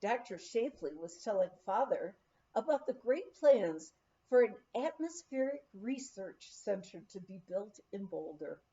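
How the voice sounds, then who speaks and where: A woman's voice: medium pitch, big pitch swings, a little slow, mellow. A woman reading a memoir aloud as scripted storytelling narration, occasionally stumbling over words, on a slightly muffled recording. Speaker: audiobook reader.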